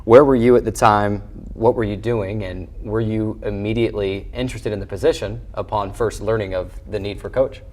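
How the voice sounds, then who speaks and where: Low-voiced male sports show host. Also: steady tone, moderate pace, hardly any pauses, a little mellow, slight background noise. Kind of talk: conversational sports interview.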